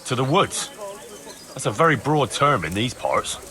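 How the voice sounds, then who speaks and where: A man's voice: medium pitch, big pitch swings, unhurried, pushed and bright. A man playing a hard-nosed young medieval man-at-arms in a video game, blunt, sarcastic and pressing. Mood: stern. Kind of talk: video game cutscene acting.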